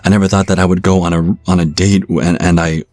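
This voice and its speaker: A low-pitched actor as an awkward young American guy in an audio drama. He is apologetic and trips over his words, recorded close and dry. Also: little pitch movement, fast, a little mellow, no room sound, lightly acted. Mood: flustered, apologetic. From United States. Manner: stammering, apologetic. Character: awkward, flustered young American man on a date. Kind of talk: audio roleplay acting and first-person narration.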